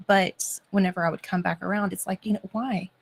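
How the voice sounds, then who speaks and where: A woman guest on a talk show, speaking softly and hesitantly with many pauses. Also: mid-range voice, steady tone, gravelly, husky. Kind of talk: personal testimony on a talk show.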